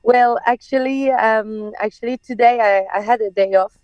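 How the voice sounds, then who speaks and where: A woman's voice: on the high side, steady tone, normal speed, dry recording, dull audio. A woman in her thirties with a French accent, a native Persian speaker, talking hesitantly as a guest on a video call from her car. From France. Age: 30s. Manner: hesitant, many restarts and laughs. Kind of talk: casual interview.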